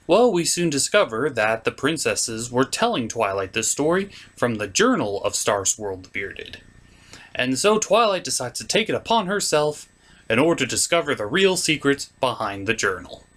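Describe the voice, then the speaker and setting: Animated male comic reviewer. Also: medium pitch, normal speed. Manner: theatrical, slipping into character voices. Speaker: reviewer and puppeteer. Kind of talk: scripted comic episode recap.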